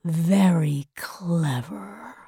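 Woman playing a slow, whispering, sinister man. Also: fairly low pitch, mellow, no echo, clean studio sound, voice pushed down, exaggerated voice. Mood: menacing calm. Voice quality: breathy, whispering. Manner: slow, drawn-out, taunting. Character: sinister, patient, whispering man with red eyes, mind-controlling.

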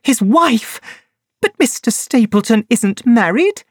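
A woman's voice: expressive, takes it slowly, no echo. A reader voicing an upset young woman who pleads and confesses, in a dry, close recording. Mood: shocked, angry. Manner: emotional, high and strained. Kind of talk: audiobook character reading.

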